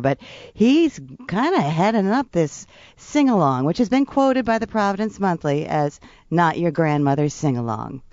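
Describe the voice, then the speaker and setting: A woman hosting a radio show, chatting in a lively, jokey, exclamatory way with a guest. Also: low voice. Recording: slightly dull lo-fi radio recording.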